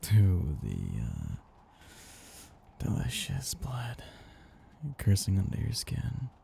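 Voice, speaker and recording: Casting: male actor as ancient vampire master for ASMR roleplay, very dark and breathy, gravelly edge, possessive, teasing. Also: mid-range voice, a little hiss or hum, heavily acted. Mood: seductive, menacing. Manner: languid, teasing, condescending endearments, soft chuckles. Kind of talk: intimate ASMR roleplay monologue.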